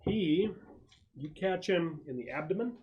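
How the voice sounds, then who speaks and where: A man's voice: on the high side, lively intonation, a little slow, lo-fi sound, background noise. A man acting as game master in a casual tabletop session, thinking aloud through rules and narrating the action.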